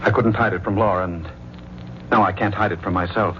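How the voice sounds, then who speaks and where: An actor playing a guilt-ridden, middle-aged married man with an American accent, speaking in a measured, confiding 1940s radio style. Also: low-pitched, fairly even intonation, a little hiss or hum, close to an everyday voice. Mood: hesitant, tender. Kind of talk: 1940s radio mystery acting, first-person narration and dialogue. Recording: muffled vintage radio broadcast.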